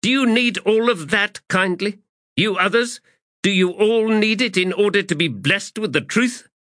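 A man's voice: mid-range voice, very animated, slow pace, a bit bright, dry recording, studio quality, voice pushed up. A man reading aloud voices a stern woman commander who speaks harshly and presses hard questions. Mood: harsh, demanding. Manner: harsh, rising to fierce questioning.

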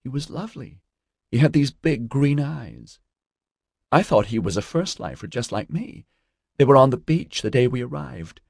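A man's voice: mid-range voice, animated, moderate pace, creaky, a little mellow, slightly rough, no echo, studio quality, voice pushed up. A man voicing a distraught, naive twenty-year-old woman student in an audiobook, pleading and woebegone. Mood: wistful, hurt.

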